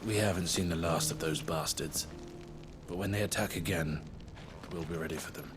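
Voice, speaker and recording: A man plays a defiant townsman in a fantasy game, bent on payback. His voice is very low, heard over clear background music. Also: normal speed, lightly acted. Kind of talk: fantasy video game acting.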